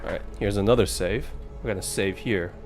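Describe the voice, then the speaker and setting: A man's voice: lowish voice, fairly quick, over music. A man streaming a horror visual novel, joking sarcastically back at the game. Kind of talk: let's play commentary.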